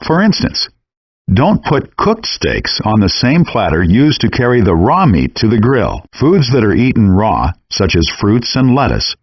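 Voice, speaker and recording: A man reading scripted cooking-game instructions in a measured, clearly enunciated, instructive way, in compressed audio. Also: medium pitch, dry recording, dull audio.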